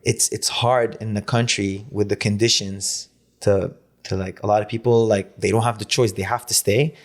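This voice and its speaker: A young man from Lebanon, a native Arabic speaker, sits in as a podcast guest. His voice sits slightly low, and he talks in a loose, chatty flow, tossing in "man" and a swear here and there. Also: level tone, moderate pace. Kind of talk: casual podcast conversation.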